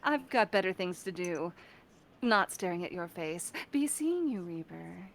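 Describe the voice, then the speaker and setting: A woman voicing a taunting female conscience in a mirror, cool, mocking and deliberate. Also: fairly low pitch, expressive, vocal fry. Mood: snide, bored.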